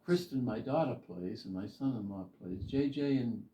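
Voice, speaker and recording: Older man, Boston accent, dark soft-edged timbre, halting storyteller, reminiscing, echoey room. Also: medium pitch. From Boston area, United States. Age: older, 70s or above. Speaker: interviewee, retired army officer. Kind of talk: reminiscing in a life story interview. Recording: roomy, distant, slightly muffled.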